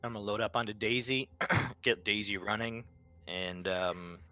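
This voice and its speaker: A man with a lowish, forceful voice plays a tabletop game. He talks casually and bluntly, slipping in and out of character. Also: fairly even intonation, moderate pace. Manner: blunt, heavy swearing.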